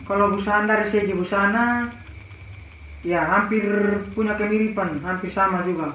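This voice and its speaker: A man from East Nusa Tenggara answers interview questions casually in an eastern Indonesian accent. His voice is high for a man. It rings with heavy echo and sounds slightly muffled. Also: normal speed, bright, forceful tone. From Malaka, East Nusa Tenggara, Indonesia. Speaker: interview guest. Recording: very echoey, muffled, faint noise.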